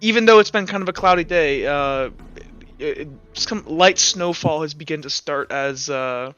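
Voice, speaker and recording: A man narrating a tabletop roleplay game as game master in a halting, informal way, on a muffled recording. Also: a little high, fairly bright tone, clear, resonant tone, faint music behind.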